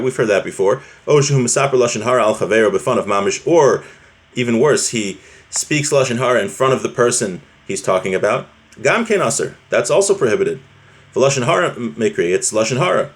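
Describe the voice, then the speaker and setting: A man's voice: mid-range voice, lively intonation, fast talker. A man giving an informal religious lesson, reading from a text and explaining it point by point with rhetorical check-ins. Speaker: religion teacher.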